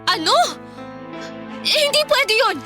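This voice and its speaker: A woman's voice: very high-pitched, sing-song, slow, over music, exaggerated voice. A woman acting a stern, outspoken young breadwinner daughter, indignant and confrontational, in a Filipino radio drama. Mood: disbelieving.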